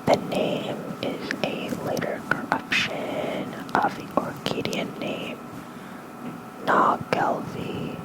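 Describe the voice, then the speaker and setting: An ASMR creator softly whispering a bedtime lore reading with casual asides, gentle and breathy, close on a fluffy-covered mic. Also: rough recording. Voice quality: soft breathy unvoiced whisper. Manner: slow, gentle, unhurried.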